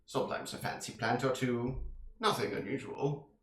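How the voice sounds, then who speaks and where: A man playing a tabletop game and speaking as his character, answering smoothly and evasively. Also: medium pitch, moderate pace, fairly bright tone. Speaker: player. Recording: echoey room.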